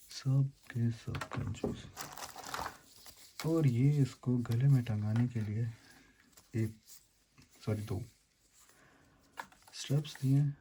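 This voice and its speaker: A man's voice: medium pitch, very noisy. A man hosting a casual, chatty gadget unboxing and explainer, informally mixing English words into his talk. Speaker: YouTube host unboxing and reviewing gadgets.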